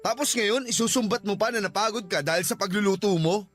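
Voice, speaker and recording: A man's voice: high-pitched, quick pace, a bit bright, soft background music. A man playing a working husband and young father in a radio drama, with an emotive, insistent delivery. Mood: defensive, irritated. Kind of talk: Tagalog radio drama acting.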